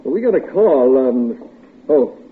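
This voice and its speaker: An actor playing a courteous, matter-of-fact police officer in an old radio drama. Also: male voice, medium pitch, big pitch swings, a little slow, a little hiss or hum. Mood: businesslike. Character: polite, businesslike police officer. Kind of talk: 1940s radio acting. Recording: tinny old radio broadcast.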